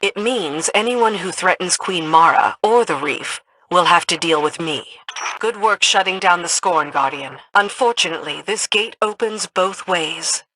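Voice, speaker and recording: Tough, low-ish, sardonic female commander. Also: sharp tone, a little hiss or hum, low-quality recording. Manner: brisk, blunt, no-nonsense. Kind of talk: video game voice acting.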